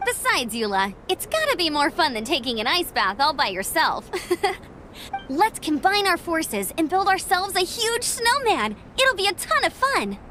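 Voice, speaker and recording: A very high-voiced perky young adventurer. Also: female voice, big pitch swings, unhurried, bright, forceful tone, slight background noise, heavily acted. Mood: friendly explaining. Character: perky, friendly young female adventurer, eager and kind. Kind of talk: video game voice acting.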